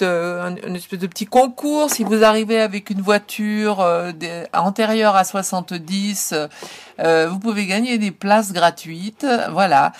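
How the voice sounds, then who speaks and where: A woman from France hosting a local radio music show, talking casually and pausing often with fillers and restarts. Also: medium pitch. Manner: hesitant, many fillers and restarts. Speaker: radio host.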